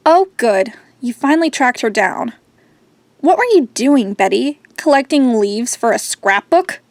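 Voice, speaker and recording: Radio drama: spoiled, cutting teen queen bee, higher register, sarcastic, dry sound. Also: lively intonation, measured pace, no room sound. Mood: scornful. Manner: sarcastic, biting. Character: haughty, sarcastic rich teenage girl. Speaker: actor in an audio drama.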